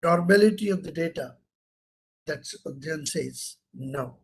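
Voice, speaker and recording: A middle-aged man with an Indian English accent teaches an online class over a computer mic. His voice sits fairly high and a little husky, and he keeps quizzing students and repeating key terms. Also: animated, measured pace. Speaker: professor lecturing online. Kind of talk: interactive online lecture.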